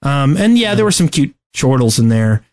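A man talks casually as a co-host on a gaming podcast. His delivery is halting, and he often stammers as he gets his sentences out. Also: mid-range voice, very animated, darkish tone.

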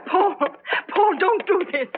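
An actress playing Martha, a worried middle-aged wife in a radio drama, soothing yet firm. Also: female voice, extremely high pitch, expressive, slow, a little hiss or hum, full character voice. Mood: pleading. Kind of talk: 1970s radio drama acting. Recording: dull lo-fi old radio recording.

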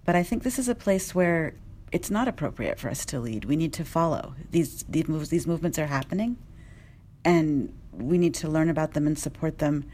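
Woman journalist, panel talk, low pitch, mellow tone, earnest, measured. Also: lively intonation, a little hiss or hum. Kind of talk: panel discussion.

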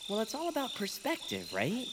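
A timid, supportive male bird with a light voice that swings wildly in pitch. He is hesitant and earnest, with crickets and frogs clearly behind him. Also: wildly swooping pitch, moderate pace, fairly bright tone, slight background noise, heavily acted, low-quality recording. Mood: encouraging.

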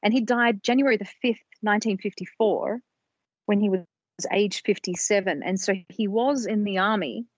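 A woman's voice: mid-range voice, moderate pace, husky, dry recording. A woman co-hosting a podcast, carefully walking through her research in a casual, explanatory way with small restarts. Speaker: podcast co-host presenting research. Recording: dull lo-fi dry recording.

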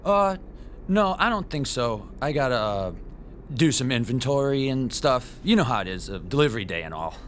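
A man's voice: mid-range voice, very animated, moderate pace, slight background noise, natural voice. A man playing a timid, geeky comic shop owner with a nervous, hesitant manner in a radio drama. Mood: awkward.

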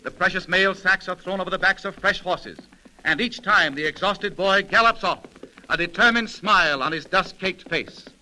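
A man's voice: sits high, normal speed, bright, forceful tone, a little hiss or hum, rough recording. A man narrating a 1930s radio drama in a grave, theatrical storytelling manner. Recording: old 1930s radio recording, music behind.

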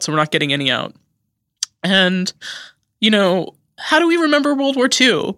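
A woman's voice: medium pitch, bright, forceful tone, dry recording, clean studio sound. A woman from Idaho, a podcast guest, speaking in an impassioned, emphatic way and sounding tired and on edge. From Idaho, USA. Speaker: guest commentator. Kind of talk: podcast interview.

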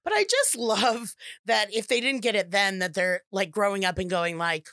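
A woman's voice: mid-range voice, animated, moderate pace, fairly bright tone, dry recording, clean studio sound. A middle-aged woman telling funny stories on a podcast, laughing often, swearing casually and acting out quotes. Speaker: podcast guest, comedic actress. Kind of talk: casual chat, storytelling.

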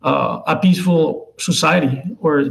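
A man in his twenties with a Venezuelan accent, a native Spanish speaker, answering interview questions haltingly as he searches for words. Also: on the high side, steady tone, vocal fry, a little hiss or hum. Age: 20s. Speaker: interview guest, political contributor.

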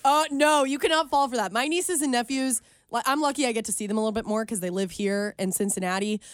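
A woman's voice: a little high, quick pace, dry recording, studio quality. A woman co-hosting a casual talk show, teasing her partner and laughing often. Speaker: co-host. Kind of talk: casual radio-style chat.

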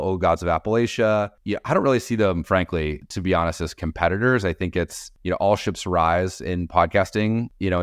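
A man's voice: low-pitched, clean tone, dry recording, studio quality. A middle-aged man talking casually and reflectively as an interview guest, rambling a little with many hedges and "you know"s. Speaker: interview guest, podcast industry executive.

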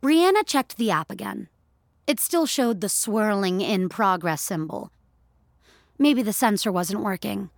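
A woman's voice: mid-range voice, expressive, measured pace, creaky, no echo, studio quality. A woman narrating scripted audio fiction in the third person.

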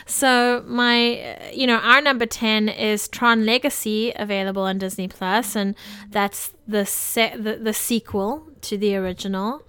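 A woman's voice: medium pitch, fairly even intonation, unhurried, pushed and bright, clean tone. A woman co-hosting a film podcast, talking casually and informally with conversational asides. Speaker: podcast co-host.

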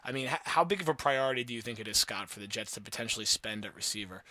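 Bright, forceful American sports podcast host, male. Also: fairly low pitch, animated, fast talker. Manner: opinionated, analytical.

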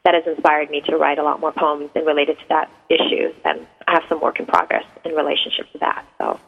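A woman's voice: sits low, flat delivery, fast, vocal fry, breathy edge. Persian-native woman on a tinny phone line. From Iran. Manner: hesitant and reflective, many ums. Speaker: guest poet. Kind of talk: radio interview answers.

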